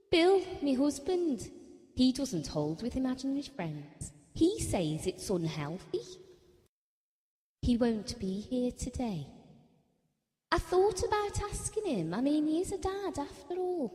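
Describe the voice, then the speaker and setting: An actress playing a chatty, down-to-earth working-class wife in an audio drama, speaking in a colloquial, confiding way. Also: female voice, on the high side, mellow, in a large hall. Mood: wistful, tender.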